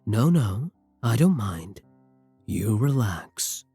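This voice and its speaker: A man playing a brooding, tender vampire king in intimate ASMR roleplay, husky and courtly, with formal endearments and hesitant pauses. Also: fairly low pitch, very animated, no echo, exaggerated voice. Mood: calm, caring. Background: soft music.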